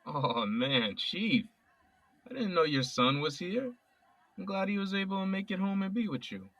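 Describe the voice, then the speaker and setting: A man's voice: high-pitched, expressive, normal speed, a bit bright, dry recording, recorded from a distance, full character voice, poor audio. An actor playing an earnest young man who speaks casually and openly. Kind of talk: audio drama acting.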